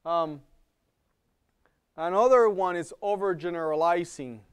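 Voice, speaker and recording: A man's voice: sits high, unhurried, smooth. A man with an accent giving a live health lecture to a class, talking in a conversational way, often checking in with "okay?" and repeating words as he thinks. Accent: non-native English.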